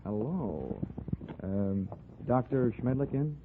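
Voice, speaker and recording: A man's voice: mid-range voice, very animated, slow, very noisy. An American man playing a flippant, wisecracking insurance investigator in a 1940s radio detective drama, delivering lines drily. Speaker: radio drama lead and narrator. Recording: tinny, band-limited 1940s radio with music.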